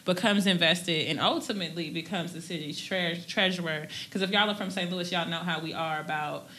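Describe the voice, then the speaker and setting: A woman hosting a podcast, emphatic and lively, laughing often as she talks. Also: on the low side, fairly quick. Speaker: podcast host. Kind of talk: casual podcast chat and interview.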